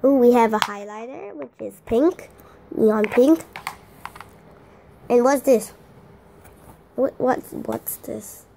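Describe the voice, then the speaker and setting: A teenage girl with a hoarse voice, chatting casually through an unboxing vlog with lots of fillers and restarts. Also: a little high, slow pace, pauses often, mellow, slight background noise. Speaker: vlogger.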